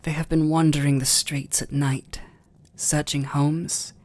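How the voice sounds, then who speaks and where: A man playing a noble, restrained vampire lord in an intimate ASMR roleplay, with a British accent and formal, hesitant, breathy delivery. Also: a little high, flat delivery, unhurried, natural voice. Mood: grave, explaining. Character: noble vampire lord, restrained, tormented, tender toward servant.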